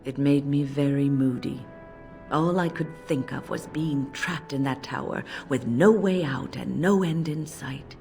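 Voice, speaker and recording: Fantasy game mentor: older female mage, deep voice, measured, reflective, gentle but firm. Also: faint music behind. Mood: wistful, reflective. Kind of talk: dramatic game dialogue.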